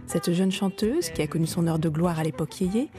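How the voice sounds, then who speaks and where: A woman giving measured, scripted narration for a radio documentary. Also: lowish voice, warm tone. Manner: measured, clear storytelling delivery. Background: music.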